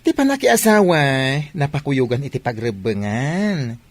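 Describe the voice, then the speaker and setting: Protective young husband played by a man, medium-pitched voice, doting, domestic drama. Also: huge pitch swings, moderate pace, dry recording. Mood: protective, tender.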